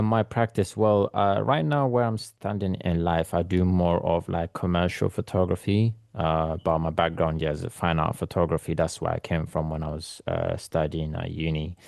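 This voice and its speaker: A very deep man in his thirties with a gravelly, fry-heavy voice talks about his path as a photographer. He rambles with lots of ums and restarts, in a flat, even tone. The sound is dry and close. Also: normal speed, dry recording. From born in Ethiopia, raised in London. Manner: rambling, many ums and restarts, soft laughs. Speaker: guest photographer. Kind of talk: casual interview chat. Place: remote call from London.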